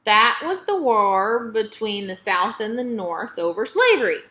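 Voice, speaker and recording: A woman's voice: medium pitch, sing-song, measured pace, pushed and bright. A woman voicing a curious, earnest young girl from a storybook, eager and polite.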